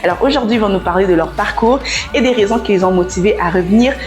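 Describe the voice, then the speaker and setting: Woman, interview host, medium pitch, informal conversational style, faint music. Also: fast talker, darkish tone. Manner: informal, drops English phrases into French. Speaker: host interviewer of a show about returning to the homeland. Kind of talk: casual interview chat.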